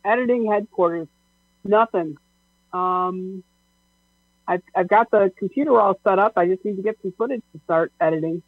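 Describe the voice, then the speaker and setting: A woman's voice: medium pitch, fairly even intonation, moderate pace. Woman on a tinny, phone-like line. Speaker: show's editor. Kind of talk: casual chat. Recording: tinny phone-like sound with hum.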